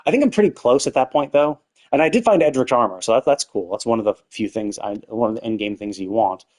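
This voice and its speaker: A man's voice: medium pitch, fast talker, darkish tone. A man chatting casually on a gaming livestream in a rambling, conversational way, with loose, casually profane talk. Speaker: live streamer.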